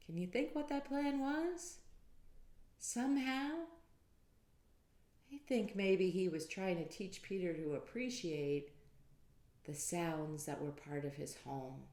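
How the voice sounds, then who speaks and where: An animated woman storyteller reads to children, her pitch moving freely up and down. She takes her time over each phrase, speaking slowly and expressively. The sound is roomy, with audible echo. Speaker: storyteller reading a children's book aloud.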